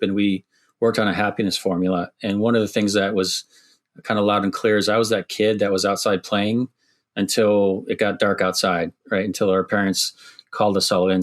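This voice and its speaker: A middle-aged man from Wisconsin with a Midwestern accent softened by years in Canada, answering interview questions thoughtfully with many restarts. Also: on the low side. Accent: Midwestern American, softened by years in Canada. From Wisconsin, USA. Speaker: construction executive guest.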